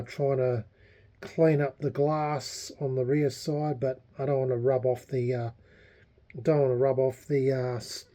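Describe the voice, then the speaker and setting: An Australian man giving a casual, unscripted hobby vlog update, speaking haltingly with frequent pauses. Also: mid-range voice, warm tone. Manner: halting, hesitant, unscripted delivery with many pauses. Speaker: hobbyist vlogger. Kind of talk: casual restoration progress vlog.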